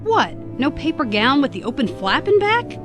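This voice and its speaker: An actress playing a plucky young travelling companion in an audio drama, with a wry, playful, quippy manner. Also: female voice, high-pitched, very animated, normal speed, music in the background. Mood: wry, joking. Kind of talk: scripted audio drama acting.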